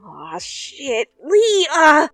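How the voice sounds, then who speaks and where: Young woman reading fanfic ASMR, casual and chatty with swearing asides, slow-paced, dry close mic. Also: high voice, wildly swooping pitch, no echo. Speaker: fanfic reader. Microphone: close studio mic. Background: soft music.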